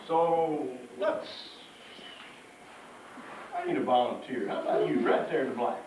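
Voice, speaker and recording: A man's voice: a little high, measured pace, a little mellow. A man giving an informal, instructive safety talk to children as a firefighter, often checking that they follow. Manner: informal, instructive, checks understanding with frequent questions. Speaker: firefighter hosting a fire-safety show for schoolchildren. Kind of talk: live educational presentation to children. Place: echoing indoor hall, live event. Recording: echoey hall from far off, faint noise.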